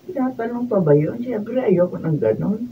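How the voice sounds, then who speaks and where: A woman's voice: mid-range voice, expressive, moderate pace, natural voice. A woman playing an aggrieved elderly mother in a radio drama, plaintive and sulky, with a Filipino accent. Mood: weary, regretful. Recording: distant, muffled, noisy room recording.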